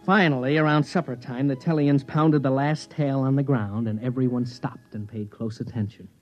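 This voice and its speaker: An actor playing a nervous, exasperated space explorer in a 1950s radio drama, with wry narration and dialogue. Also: male voice, mid-range voice, steady tone, moderate pace, faint music behind, close to an everyday voice. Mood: wry, weary. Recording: dull vintage radio recording.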